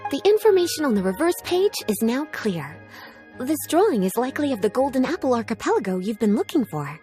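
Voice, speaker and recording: A woman's voice: high-pitched, big pitch swings, a little fast, dark tone, faint music behind. A woman voicing an elegant, teasing, doting librarian in a video game, with genteel and composed phrasing. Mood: composed, thoughtful. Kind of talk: video game character acting.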